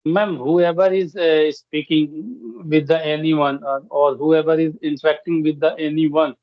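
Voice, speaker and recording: Halting Hindi-speaking man, dull dry sound. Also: a little high, fairly even intonation, no room sound. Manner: halting, many fillers and restarts. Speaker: guest English learner. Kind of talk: conversational practice chat.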